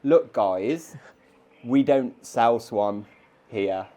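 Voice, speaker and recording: A man making prank phone calls in a deadpan, slightly hesitant way. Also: mid-range voice, very slow. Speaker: prank caller. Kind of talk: casual phone prank chat.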